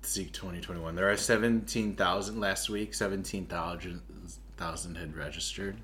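A man's voice: deep voice, steady tone, unhurried, a bit bright, slight background noise. A man, a priest co-hosting a casual podcast, with a playful, joking way of talking.

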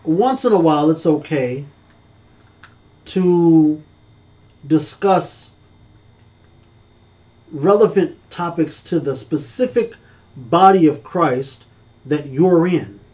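A man's voice: a little high, slow, long pauses, a little mellow. A man co-hosting a casual church-talk podcast, speaking earnestly with a preacherly cadence.

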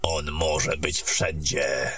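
A man playing a calm, seasoned wizard mentor in a fantasy audio drama, speaking in measured, terse instructions with a husky voice. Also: rumbling low, heavy background noise, heavily acted. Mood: wary, guarded.

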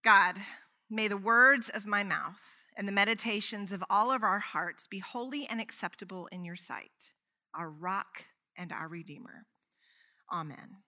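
A woman's voice: mid-range voice, a little slow, pushed and bright. A woman pastor preaching a sermon in a church, chatty and playful with a strong voice and lots of casual asides. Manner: chatty and playful, with frequent "like" fillers. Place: church sanctuary.

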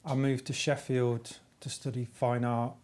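A man in his forties with a middle-pitched voice answers interview questions in a studio. He speaks in a calm, easygoing way, pausing with small fillers as he thinks. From East Midlands, England. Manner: easygoing, thoughtful, hesitant fillers. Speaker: illustrator and artist guest. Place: podcast studio.

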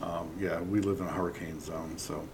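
Brief: a man from New England, chatty guest telling business stories, lowish voice, faint background hum. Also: level tone, moderate pace, gravelly, a little mellow. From Massachusetts. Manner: chatty, tells anecdotes. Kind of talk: casual interview storytelling.

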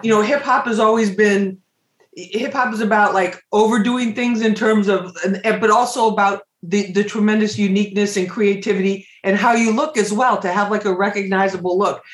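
High-voiced man hosting a radio interview. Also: fairly even intonation, brisk, reverberant. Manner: rambling, restarts questions. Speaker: radio host. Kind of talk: casual interview chat.

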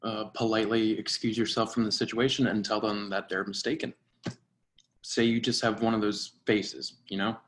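A man acting a dry, by-the-book surveillance agent and mentor in a screenplay reading, with a measured, instructive delivery. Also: on the low side, level tone, a little hiss or hum, natural voice. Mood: guarded.

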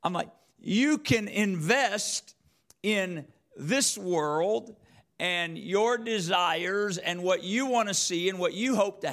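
Man, preacher, slightly high pitch, unhurried pace, emphatic repetition, sermon delivery. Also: a bit bright. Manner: emphatic, repeats phrases, rhetorical questions. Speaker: preacher, church elder. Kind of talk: sermon with scripture quotation.

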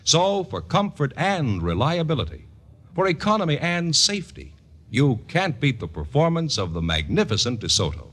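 A man's voice: a little high, very animated, moderate pace, a bit bright, husky. A man with an American accent announcing in an old-time broadcast style, as for a show or an ad.

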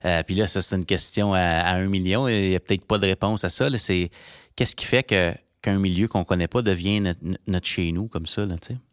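A man's voice: deep voice, brisk, clear, resonant tone, dry recording, clean studio sound. A man hosting an interview podcast, asking questions in a friendly, informal way with fillers. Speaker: podcast host. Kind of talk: scripted intro, then casual interview.

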